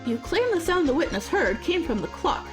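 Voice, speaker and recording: A woman's voice: high voice, big pitch swings, brisk. A woman voicing a stern elderly male judge, reading courtroom game dialogue aloud in a formal, commanding manner. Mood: measured, questioning. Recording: music behind. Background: game music.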